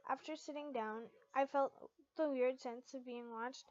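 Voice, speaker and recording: A woman's voice: high-pitched, steady tone, a little slow, darkish tone. A young woman narrating fan fiction and chatting casually to her channel's followers. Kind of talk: expressive first-person story narration and casual vlog outro. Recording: dull lo-fi home recording.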